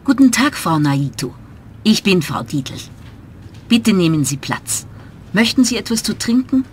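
A woman's voice: medium pitch, sing-song, measured pace, slight background noise. A woman voicing a travel agency manager who interviews an applicant, in a scripted textbook dialogue. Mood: businesslike.

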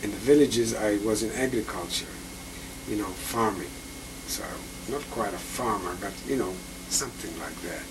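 A man's voice: on the low side, normal speed. A man, patiently explaining instruments as he handles them and answering interview questions about his life. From Egypt. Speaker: musician and lecturer. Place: indoor room full of instruments, then an office with bookshelves. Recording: distant, hissy old videotape.

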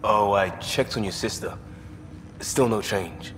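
An actor playing an easygoing, supportive crewmate in a space video game. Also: male voice, lowish voice, a little slow, a bit bright, a little hiss or hum. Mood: gentle, reassuring. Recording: echoey with faint ambience.

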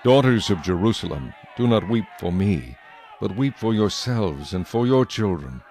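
Casting: a man doing a calm, solemn Jesus, low steady voice. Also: moderate pace, slight background noise.

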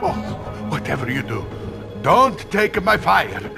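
An actor playing a whimpering, cowardly chalet manager in a cartoon video game, creaky, halting and pleading. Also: male voice, sits high, sing-song, over music, exaggerated voice. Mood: frightened.

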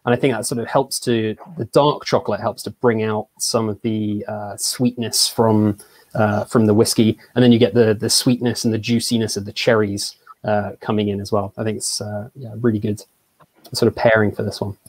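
A young English man from Surrey, a brand ambassador presenting in an online tasting, relaxed and hesitant with many fillers. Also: fairly low pitch, normal speed, dry recording. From England (Surrey). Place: small home room. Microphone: video call.

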